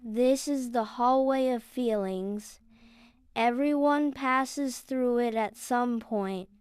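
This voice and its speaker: A narrator voicing a kindly, scholarly male library spider with a polite, precise, gently fussy manner. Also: mid-range voice, slow pace, clear, resonant tone, no room sound, clean studio sound, voice pushed up, lightly acted. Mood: warm, encouraging.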